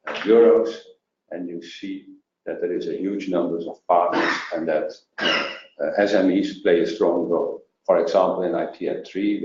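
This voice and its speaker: A man presenting a programme in a webinar, halting with many fillers and restarts. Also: deep voice, warm tone. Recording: roomy, dull lo-fi sound.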